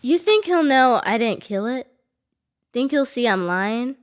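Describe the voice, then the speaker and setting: Woman playing a brash girl, highish voice. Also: animated, a little slow. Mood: skeptical. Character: brash, dreamy small-town country girl with a soft heart. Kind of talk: audio horror drama acting.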